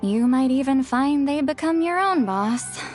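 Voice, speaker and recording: High-voiced proud, flustered young woman. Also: measured pace, soft background music. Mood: amused, wry. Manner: expressive, indignant when embarrassed. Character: proud, easily flustered young woman who loves books. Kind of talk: video game voice acting.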